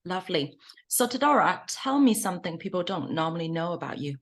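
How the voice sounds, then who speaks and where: A woman's voice: fairly low pitch, expressive, a little fast. A woman hosting an interview, introducing her guest and asking questions, with some fillers and slightly unusual phrasing. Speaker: host, consulting founder. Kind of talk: hosted interview.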